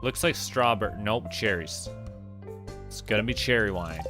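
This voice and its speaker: Casting: male game streamer, lowish voice, playful self-talk, casual commentary over clear background music. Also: normal speed. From Canada. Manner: thinks aloud, chatty self-talk, playful.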